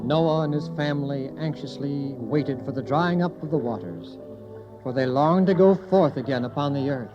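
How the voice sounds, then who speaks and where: A man reading a scripted children's Bible story as narrator, in a measured, solemn manner. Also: medium pitch, lively intonation. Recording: dull lo-fi audio under music.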